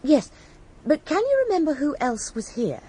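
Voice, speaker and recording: A woman playing a polite, inquisitive young amateur sleuth in a radio drama, eager and breathy. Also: high voice, huge pitch swings, normal speed. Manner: bright politeness, eager questioning.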